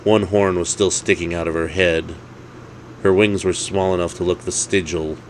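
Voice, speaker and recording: A man's voice: low voice, steady tone, normal speed, a little hiss or hum, poor audio. A man narrating a story in a dramatic storytelling style.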